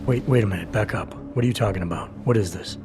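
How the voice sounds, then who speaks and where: An actor playing a terse, jaded American agent in a video game, gravelly and deadpan. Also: male voice, lowish voice, quick pace, natural voice. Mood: firm, questioning. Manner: deadpan, clipped. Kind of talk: video game cutscene acting. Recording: loud music behind. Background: music.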